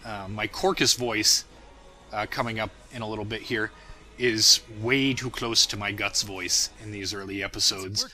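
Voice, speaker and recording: Young adult man, very bright forceful voice, casual commentary, reflective, self-deprecating. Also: fairly low pitch, steady tone, normal speed. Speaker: creator of a fan parody series, commentator. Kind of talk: casual audio commentary on own work.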